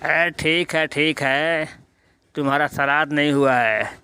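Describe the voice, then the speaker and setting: A man's voice: on the high side, steady tone, measured pace, pushed and bright, clean tone, no echo. A man voicing a priest's crafty companion in a cartoon, talking in a colloquial, reassuring way. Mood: placating. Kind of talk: Hindi cartoon story voice acting.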